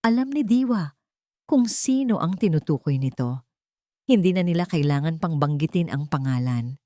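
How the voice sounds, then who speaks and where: A woman narrating a scripted sentimental love story in a measured, expressive way. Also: deep voice, no echo, clean studio sound.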